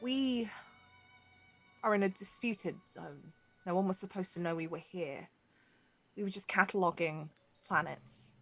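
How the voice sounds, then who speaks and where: An exhausted, guarded Vulcan captain acted by a woman, low-voiced and grave. She speaks in clipped, halting phrases with some creak in the tone, over music in a sci-fi game. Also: fairly bright tone. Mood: grave, weary.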